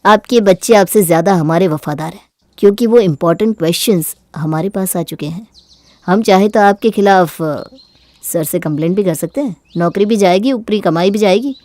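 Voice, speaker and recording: A woman's voice: mid-range voice, moderate pace, mellow, no echo, clean studio sound, lightly acted. A woman voicing a cocky young male college student, smug and firm, in an audio story. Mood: smug, threatening.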